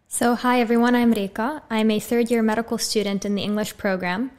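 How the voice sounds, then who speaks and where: A woman's voice: a little high, fairly quick. A woman in her twenties with an American accent, chatting as a podcast guest in a small studio on a condenser mic. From USA. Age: 20s. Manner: friendly, relaxed, frequent "so" openers. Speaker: podcast guest, medical student. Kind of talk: casual interview talk. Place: small bright studio room. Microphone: studio condenser mic on boom arm.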